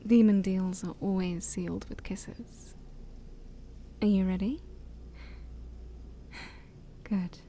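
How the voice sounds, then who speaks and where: A woman voicing a shy, haughty, ancient demon princess in a soft-spoken ASMR roleplay, breathy and often near a whisper, with formal, theatrical wording and awkward hesitations. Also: mid-range voice, fairly even intonation, slow pace, pauses often, a little hiss or hum. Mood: gently pleased.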